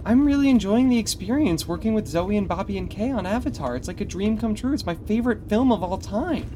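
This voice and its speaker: A man's voice: high voice, lively intonation, fairly quick, mellow, slight background noise. A man playing a polite, guileless young science consultant in a comedic audio drama, deferential and earnest. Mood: politely puzzled.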